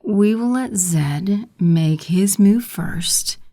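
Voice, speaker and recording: Actress as scheming villainess, lowish dark voice, very slow, cold, commanding, audio drama. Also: female voice. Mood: cold, conspiratorial. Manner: slow, measured.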